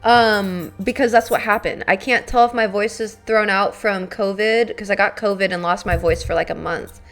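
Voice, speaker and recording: A young woman chats loosely with her viewers, drifting from topic to topic with plenty of fillers and casual swearing. Her pitch sits mid-range for a woman. Soft music plays faintly behind her. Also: steady tone, normal speed. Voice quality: raspy. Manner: chatty, rambling, swearing, many fillers. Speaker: streamer. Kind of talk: casual live-stream chat with viewers.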